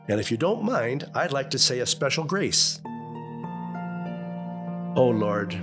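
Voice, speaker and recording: A genial, authoritative middle-aged father played by a man in golden age radio comedy. His delivery is earnest and lively in pitch, with loud music in the recording. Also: lightly acted. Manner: earnest, paternal, firm. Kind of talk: 1950s radio sitcom acting. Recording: vintage radio broadcast with music behind.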